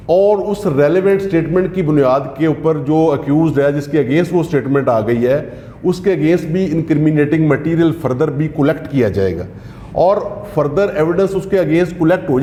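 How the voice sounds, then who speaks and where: A man who practises criminal law, explaining legal procedure in a measured way, often pausing to hesitate. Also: fairly high pitch, dark tone, echoey room. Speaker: lawyer answering legal questions.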